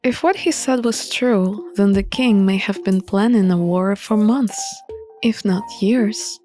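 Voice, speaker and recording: Woman reading visual novel narration really slow, music clearly going behind her. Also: mid-range voice, mellow. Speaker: narrator of a game playthrough. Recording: music under the voice.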